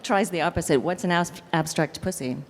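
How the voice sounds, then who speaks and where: Low-pitched woman curator asking questions, echoey gallery hall. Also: a little fast. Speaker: moderator, curator. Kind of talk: panel conversation. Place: museum event space with audience. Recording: long reverb of a large gallery hall.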